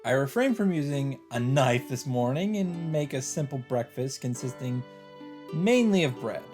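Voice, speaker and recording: A man's voice: medium pitch, lively intonation, normal speed, darkish tone. A man hosting a let's play, reading visual novel narration aloud with casual asides. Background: game music.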